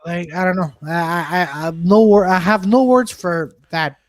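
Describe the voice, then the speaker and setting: A man who does stand-up, chatting on a video call, excitable and halting, piling up restarts. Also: high-pitched, no room sound, studio quality. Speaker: comedian. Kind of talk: casual video chat.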